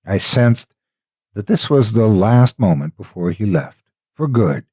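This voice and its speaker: A man with a husky voice, a storyteller delivering scripted narration in deliberate, theatrical phrasing. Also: on the low side, big pitch swings, a little mellow, dry recording, clean studio sound. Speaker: horror story narrator and host. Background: faint music.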